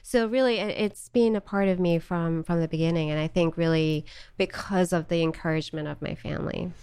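A woman's voice: on the low side, fairly even intonation, fairly quick, a little mellow, clean tone, no room sound. A middle-aged woman from South Africa, talking casually as an interview guest with frequent fillers and laughs. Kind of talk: conversational interview.

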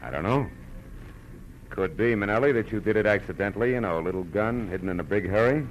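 An actor playing a wry, terse private detective in a 1940s radio drama, on an old recording. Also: male voice, on the low side, expressive, quick pace, muffled recording, a little hiss or hum, close to an everyday voice. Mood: dry, probing. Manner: terse, dry, sardonic. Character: hard-boiled, wry male private detective. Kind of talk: 1940s radio acting, first-person narration.

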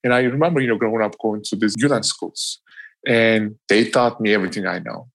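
A man's voice: on the low side, a little fast, vocal fry, fairly bright tone, dry recording. Earnest Turkish-accented young man. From Turkey. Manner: casual, earnest, many fillers like "you know". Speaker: guest, professional athlete.

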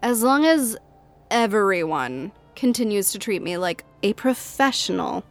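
A woman's voice: mid-range voice, very animated, a little slow, faint music behind. A woman playing a demanding, easily affronted diva in a drama, haughty and petulant. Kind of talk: scripted drama dialogue.

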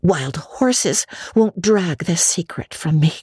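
A woman voicing an earnest, imaginative young girl in a storybook reading, halting and full of feeling. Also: on the low side, lively intonation, dark tone, slightly rough, dry recording, studio quality, full character voice. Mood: solemn, curious. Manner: halting, repeats words for emphasis.

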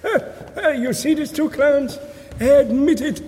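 Casting: wry, teasing priest for comic audio drama; very high for a man, unhurried. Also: darkish tone, a little hiss or hum. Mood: teasing, jolly. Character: sardonic, teasing, jolly male priest, Father Clem. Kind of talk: audio drama comedy acting.

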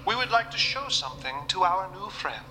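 A man's voice: high-pitched, sing-song, brisk, big-room sound, noisy background. A man playing a courtly, folksy Southern preacher in an audio drama, speaking in a grandiloquent, courteous way. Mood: grandly reverent. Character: courtly folksy preacher husband broadcasting from inside a radio.

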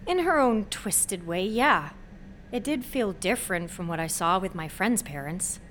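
A woman's voice: medium pitch, lively intonation, a little slow, a bit bright, slight background noise. An actress playing a sheltered, anxious young female college student who speaks candidly in a naturalistic audio drama. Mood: guarded, reflective. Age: young adult.

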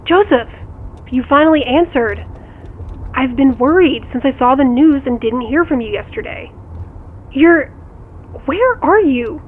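A woman's voice: high voice, expressive, unhurried, pauses often. A woman acting in an audio drama as a worried, blunt friend, heard through a phone call filter. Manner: blunt, exasperated, concerned. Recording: muffled phone call, faint car noise.

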